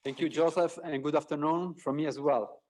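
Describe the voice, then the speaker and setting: Guy doing a business presentation, voice a bit high, mic sounds far off. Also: fairly even intonation, moderate pace, a little mellow. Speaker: company executive presenting a business unit. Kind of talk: business presentation on a conference call. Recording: distant mic, conference call audio.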